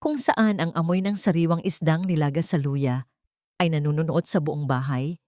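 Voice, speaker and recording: A woman narrates a dramatic story in a fairly low voice. The sound is dry and close, with very little room on it. She reads from a script as an audiobook narrator. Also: moderate pace, darkish tone, no echo.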